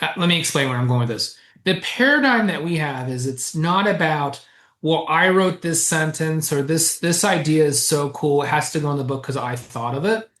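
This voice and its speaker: Animated author guest in a roomy room. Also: male voice, on the high side. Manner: animated, chuckles often, explains at length. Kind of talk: casual interview chat. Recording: roomy echo.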